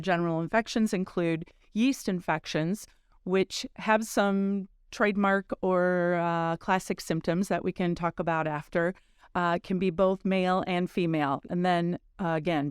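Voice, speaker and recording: Lady doctor, kinda low, super crisp resonant voice, careful and precise. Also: steady tone, normal speed, no echo, clean studio sound. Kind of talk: explanatory podcast talk.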